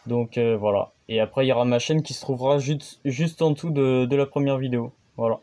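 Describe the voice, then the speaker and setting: A man's voice: medium pitch, a little fast, dark tone. A man hosting his own amateur radio channel, explaining things casually and hesitantly, with many fillers and restarts.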